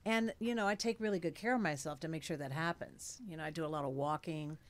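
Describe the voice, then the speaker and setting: A woman's voice: low voice, quick pace. A woman in her late sixties, an actress, chatting animatedly in an interview at a studio mic, with many fillers. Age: 60s. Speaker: actress guest. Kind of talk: casual interview chat. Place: studio with dark curtains and blue lights. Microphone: studio mic on a stand.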